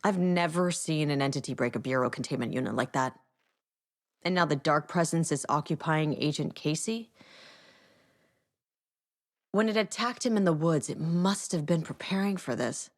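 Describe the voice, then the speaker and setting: Low-voiced, hard-bitten federal agent. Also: female voice, moderate pace, pauses often. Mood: stern, sardonic. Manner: blunt, dry, no-nonsense. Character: tough, blunt, sardonic female government agent. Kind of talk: dramatic game dialogue.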